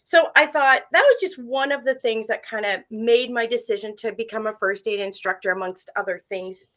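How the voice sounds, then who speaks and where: A woman whose first language is French, speaking English as an interview guest in an animated, talkative way with frequent fillers. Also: a little high, moderate pace. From Canada. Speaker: guest, first aid instructor. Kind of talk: casual interview talk.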